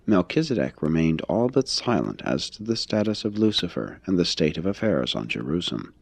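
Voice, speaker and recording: A man reading a religious text aloud as scripted narration, in a formal, measured, expository manner. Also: low voice, sing-song, gravelly, breathy edge, no room sound. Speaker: audiobook reader.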